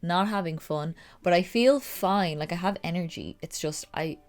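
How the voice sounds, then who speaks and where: A woman's voice: on the low side, normal speed, darkish tone, faint music behind. A young woman with a stuffy, nasal voice from a cold, chatting casually through a makeup tutorial. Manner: chatty, rambling, upbeat. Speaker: makeup vlogger.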